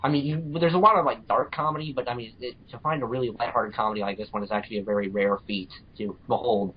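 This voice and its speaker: A man's voice: mid-range voice, fairly even intonation, fast, slightly rough. A man co-hosting a podcast, giving an earnest, casual review and repeating words as he thinks. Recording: dull lo-fi podcast audio.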